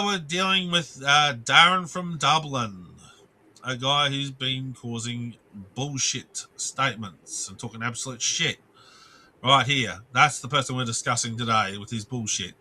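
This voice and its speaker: Bright-voiced man ranting on a live stream. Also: medium pitch. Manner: rambling, restarts sentences, swears, greets chat.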